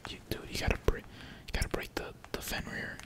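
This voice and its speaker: A man whispering slangy, sweary ASMR commentary while playing a video game at home, keys typing behind him. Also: fairly quick. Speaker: gaming ASMR streamer. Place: indoors at home, at a computer. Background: keyboard typing.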